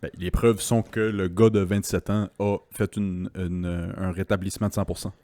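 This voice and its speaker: A man's voice: deep voice, little pitch movement, moderate pace, mellow, dry recording. A man with a Quebec French accent hosting a podcast in loose, joking, casual chat. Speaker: podcast host. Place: podcast studio.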